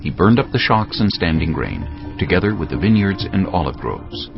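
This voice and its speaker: A man's voice: low voice, big pitch swings, moderate pace, vocal fry. A man narrating scripture in a dramatic, scripted style. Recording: dull lo-fi audio with music. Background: music.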